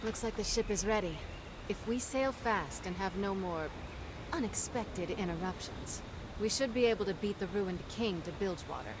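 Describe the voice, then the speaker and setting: A woman's voice: medium pitch, normal speed, noisy background, close to an everyday voice. A woman acting as a cocky, vengeful pirate captain in a video game, wry and commanding. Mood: wry, confident.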